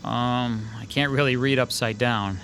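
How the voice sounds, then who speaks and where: An actor playing a hesitant, uneasy sketch artist haunted by déjà vu, in classic radio drama style. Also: male voice, medium pitch, steady tone, measured pace, noisy background, lightly acted.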